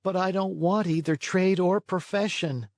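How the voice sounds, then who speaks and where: A man voicing an eager, naive boy marionette for a storybook, pleading and excitable with a touch of whine. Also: high-pitched, normal speed, no room sound, studio quality, pitched above the performer's own voice. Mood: whining.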